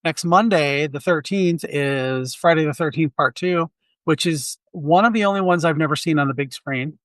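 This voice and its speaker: A man's voice: a little high, moderate pace, a bit bright, no echo. A man co-hosting a film podcast, chatting candidly in a rambling way with many restarts. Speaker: podcast co-host. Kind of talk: casual film chat. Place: Quad City area.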